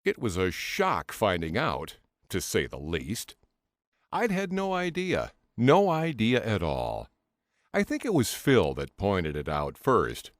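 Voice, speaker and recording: A man's voice: on the low side, takes it slowly, no echo, studio quality. A man reading a comic novel as an audiobook in a theatrical, first-person dramatic style. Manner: theatrical, expressive delivery. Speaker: audiobook narrator.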